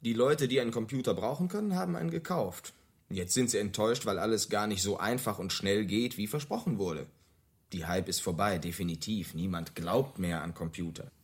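A man's voice: lowish voice, fairly quick, husky. An actor playing a blunt, disillusioned and contrary marketing man in a naturalistic radio drama, dryly contradicting. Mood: dismissive.

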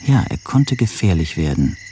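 A low-voiced man narrates a radio play as an inner monologue. He is anxious, deliberate and scheming, brooding over every suspicion. Faint rain and noise sit behind the voice. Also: big pitch swings, dark tone. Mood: measured, brooding.

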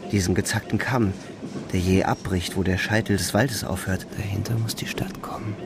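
An actor playing a weary young German soldier home on leave, narrating a radio drama in a measured, intimate, brooding monologue. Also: male voice, fairly low pitch, creaky, background noise. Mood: tense, hushed. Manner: brooding, measured, short clipped phrases.

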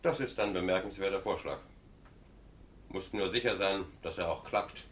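Cool sceptical adviser, male actor, low detached voice, 1950s radio drama with audible noise and distant sound. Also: normal speed, close to an everyday voice. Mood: appraising. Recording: noisy old radio recording, distant sound.

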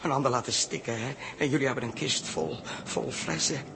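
Weak thirsty man, pleading, halting, gravelly, noisy 1960s radio drama. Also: medium pitch, sing-song. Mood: pleading, desperate. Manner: halting, complaining. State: weak, parched. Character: helpless, thirsty, pleading man crawling for beer.

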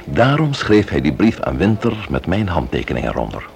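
An actor playing a shrewd, courteous police investigator in a Dutch radio play, speaking deliberately and questioning with emphasis. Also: male voice, sits low, big pitch swings, gravelly, a little mellow, heavily acted. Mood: explaining.

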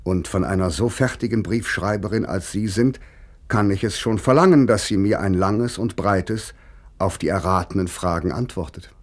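A man's voice: low-pitched, normal speed. An actor playing a spirited eighteenth-century man of letters, conversational and articulate, reading his letters in mid-century German radio style. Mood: tender, teasing.

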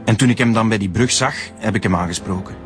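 A man's voice: on the low side, quick pace, over music, close to an everyday voice. An actor playing a slippery, indignant murder suspect protesting his innocence in a Flemish radio drama. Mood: defensive. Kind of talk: naturalistic radio drama acting.

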